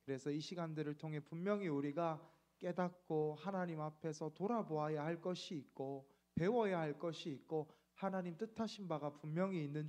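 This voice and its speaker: A man's voice: fairly high pitch, a little mellow. A man in his thirties, a pastor, giving a reflective midweek sermon with frequent fillers. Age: 30s.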